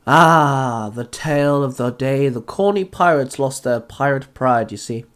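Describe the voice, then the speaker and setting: An actor voicing a wry, knowing pirate first mate in a suave, theatrical way for an audio drama. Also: male voice, mid-range voice, measured pace, fairly bright tone, close to an everyday voice.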